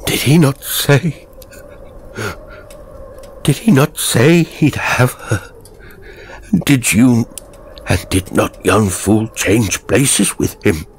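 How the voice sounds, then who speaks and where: Crazed, gibbering old manservant, high-strung. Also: male voice, very slow, long pauses, slight background noise. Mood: frantic, grief-stricken. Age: older. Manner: stammering, moaning, shrieking.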